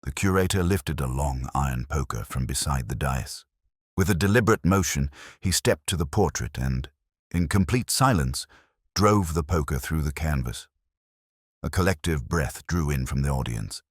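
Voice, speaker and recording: Male audiobook narrator for a mystery: very deep, expressive intonation, formal and measured. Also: husky, no room sound, clean studio sound. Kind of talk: scripted mystery story narration.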